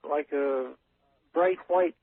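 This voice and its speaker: Husky male caller on a narrow phone line. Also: fairly high pitch, no echo. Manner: halting, matter-of-fact, technical. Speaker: caller, ship's captain. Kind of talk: unscripted storytelling on a call-in show. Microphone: telephone.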